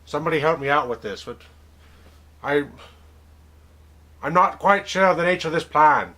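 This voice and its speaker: Male host, mock-formal put-on accent, rambling, lively pitch. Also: moderate pace. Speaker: interviewer. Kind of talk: comedic webcam interview.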